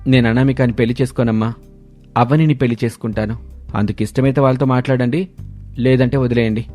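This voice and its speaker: A man's voice: mid-range voice, steady tone, fast talker, dark tone, natural voice. A male storyteller voicing a stubborn, impatient young groom in a blunt, insistent way. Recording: background music under voice.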